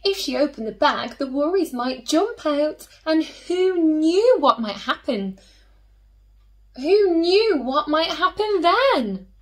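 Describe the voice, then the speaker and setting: Animated, slow-paced woman reading to children. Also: sits high. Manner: gently questioning, addressing young listeners. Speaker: children's storyteller, teacher. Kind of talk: expressive read-aloud for young children.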